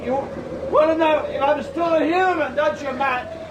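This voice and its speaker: A man playing an isolated, frightened scientist in an audio drama, speaking in an anxious, halting way. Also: dull audio. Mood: wary, brooding. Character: isolated, frightened male scientist pleading his humanity. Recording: distant, echoey, with clear rumbling noise.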